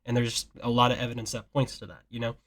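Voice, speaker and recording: A man's voice: mid-range voice, completely flat delivery, fast, a bit bright. A young man talking earnestly with a relative in a casual call, rambling with many fillers. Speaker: nephew. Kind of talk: casual phone chat.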